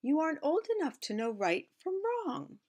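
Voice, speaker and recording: A woman voicing a gentle, cautious mother pot in a storybook. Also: high-pitched, big pitch swings, normal speed, voice pushed up. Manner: soft, cautious.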